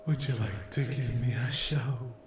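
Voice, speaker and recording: A man's voice: mid-range voice, steady tone, close to an everyday voice. A man voicing a cruel, possessive demon in an ASMR roleplay, murmuring and whispering breathily with sweet menace. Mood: sadistic delight. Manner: breathy, drawn-out, sadistic, gloating endearments. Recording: roomy echo with clear background noise.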